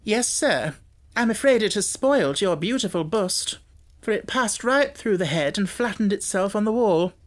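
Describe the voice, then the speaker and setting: Male reader as dutiful female housekeeper, Victorian storybook, pitched up, earnest and deferential. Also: expressive, moderate pace. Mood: eager, deferential.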